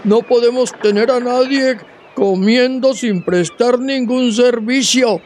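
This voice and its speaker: Radio play: scornful, vengeful pirate, high-pitched and heated, light background noise. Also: male voice, slow, darkish tone. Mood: vengeful anger. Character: bitter, vengeful pirate sailor. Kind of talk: theatrical radio drama acting.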